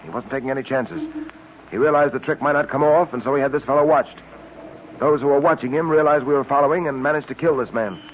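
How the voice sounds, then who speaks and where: A man acting a shrewd, commanding police detective of old radio drama. He talks very quickly in a clipped, assured way at a middle pitch. The recording is thin and lightly hissy. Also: natural voice. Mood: grim, deductive. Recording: old narrow-band radio recording with hiss.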